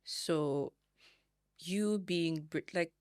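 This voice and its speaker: A woman from Nigeria with a Nigerian accent, hosting a podcast in casual, animated chat, laughing easily and searching for words. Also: fairly low pitch, extremely slow, clear, resonant tone, no room sound, studio quality. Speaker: podcast host.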